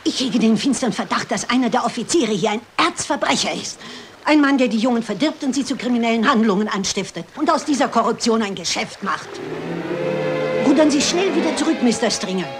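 A woman acting a shrewd, composed elderly amateur detective in a German crime radio play, brisk and resolute. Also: on the high side, lively intonation, music in the background, natural voice. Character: shrewd, unflappable elderly lady amateur sleuth. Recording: noisy background ambience.

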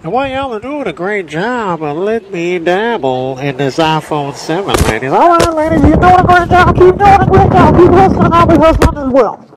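Man doing a lazy encouraging bystander, extremely high voice, declamatory, repetitive, comic voiceover, heavy noise. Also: sing-song, moderate pace, hardly any pauses. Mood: loud encouraging. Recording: very loud background noise.

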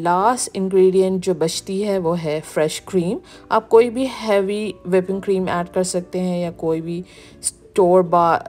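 A woman, narrating a cooking video as the channel's host, explaining each step in a relaxed way. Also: lowish voice. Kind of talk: recipe voice-over narration. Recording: background music.

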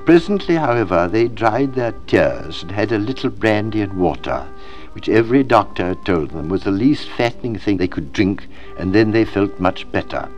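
A man reading literary fiction aloud as scripted narration, in a measured, dramatic storytelling manner. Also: lowish voice, expressive, a little mellow, lo-fi sound, soft background music. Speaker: audiobook reader.

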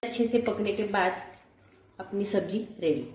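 A woman in her forties narrating a home cooking recipe step by step, calmly listing ingredients one at a time. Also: mid-range voice, a little slow. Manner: calm, lists ingredients one by one. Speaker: cooking channel host. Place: home kitchen, echoey. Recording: echoey room with faint kitchen noise.

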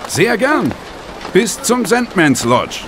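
Older man, audio drama, stubborn, reassuring, animated intonation, loud outdoor ambience. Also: high-pitched, slow, heavily acted. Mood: cheerful, determined. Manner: assured, reassuring, wistful. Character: stubborn, cheerful blind older man in a wheelchair. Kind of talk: German audio drama acting. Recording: noisy outdoor ambience behind.

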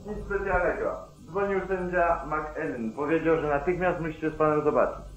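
An actor playing a dutiful sheriff's deputy in an old radio play. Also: male voice, a little high, moderate pace, natural voice. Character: dutiful male sheriff's deputy reporting to his boss. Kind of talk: mid-century Polish radio play acting. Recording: echoey, dull 1940s radio recording.

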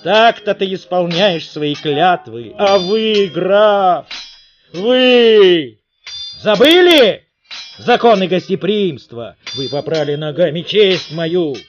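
Man acting a wrathful, high-pitched beetle king. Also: animated, takes it slowly, muffled recording, background noise. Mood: furious challenge. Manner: declamatory, pompous, indignant.

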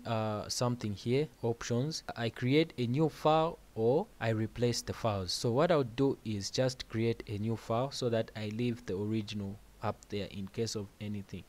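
A man of middle pitch narrates a software tutorial over his screen recording. He explains each step in a plain, unhurried way and drops in casual asides as he goes. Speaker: music production tutorial host.

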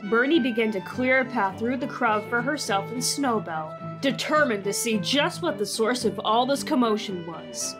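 A woman narrating a storybook audio drama in a gentle, even way. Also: fairly high pitch, moderate pace, hardly any pauses, over music. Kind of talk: scripted narration.

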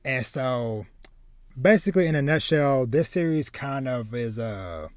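A man in his thirties hosting a casual podcast chat, halting and repeating words as he starts his sentences. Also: medium pitch, lively intonation, clean tone, no room sound, clean studio sound. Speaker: podcast host. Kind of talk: casual chat about anime and manga. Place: small home room with shelves, video call.

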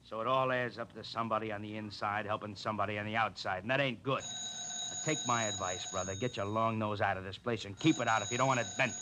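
An actor playing a gruff, menacing American warehouse tough in a noir radio drama. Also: male voice, on the low side, fairly even intonation, fast, close to an everyday voice. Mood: threatening. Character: surly, threatening warehouse tough guy. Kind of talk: 1950s radio noir acting. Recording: vintage radio recording with faint noise.

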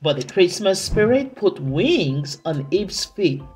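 Very slow, expressive woman storyteller. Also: lowish voice. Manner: expressive, dramatic, deliberate. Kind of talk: children's story read-aloud. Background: soft music.

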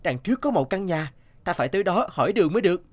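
Casting: naive young woodcutter, children's cartoon, lightish high voice, very animated, exclamatory, music underneath. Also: male voice, racing. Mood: puzzled. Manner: exclamatory, wondering aloud. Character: earnest, easily startled young woodcutter lad. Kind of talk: children's fairy-tale cartoon dubbing.

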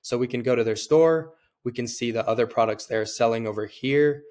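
A young man, slightly hoarse from a cold, talks at a middle pitch into a desk webcam mic in a small room. His delivery is casual and upbeat, with easy fillers as he walks through a screen-share tutorial. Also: a little fast, a little mellow. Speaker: online seller and tutorial host.

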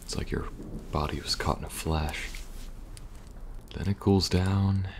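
A man playing a weary, protective young survivor agent in an ASMR audio roleplay, speaking softly and reassuringly, a little hesitant. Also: low-pitched, fairly even intonation, a little slow. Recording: faint background noise and ambience.

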